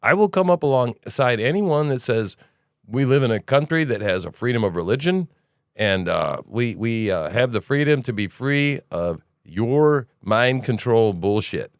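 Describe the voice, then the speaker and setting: Guy doing an opinionated news podcast, smooth clear voice, really animated, rambly and sarcastic, dry sound. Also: mid-range voice, a little slow, dry recording. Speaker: podcast host. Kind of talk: casual conservative news commentary.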